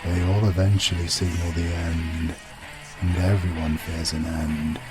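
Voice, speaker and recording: A British man playing an ancient fatherly spirit of light in a deep, husky voice, grave and portentous. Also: level tone, unhurried, loud music behind the voice. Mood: solemn, resigned.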